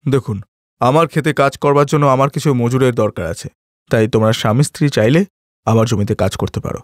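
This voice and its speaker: A man's voice: mid-range voice, fairly quick, no echo, studio quality, close to an everyday voice. A man voicing a kindly, composed village landowner in a cartoon moral story. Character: kindly, composed village landlord offering work.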